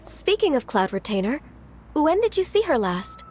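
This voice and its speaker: A woman voicing a gentle, polite and considerate young female friend in an anime-style video game, with a courteous manner. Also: high voice, sing-song, moderate pace, a little hiss or hum. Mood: polite, friendly. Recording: music faintly behind the voice.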